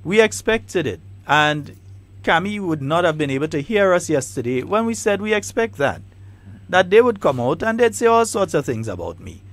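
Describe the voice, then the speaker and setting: A man's voice: on the high side, very animated, moderate pace, a bit bright. A man with a Trinidadian and Grenadian accent, hosting a talk radio show with emphatic, repeated, combative points. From Trinidad and Tobago.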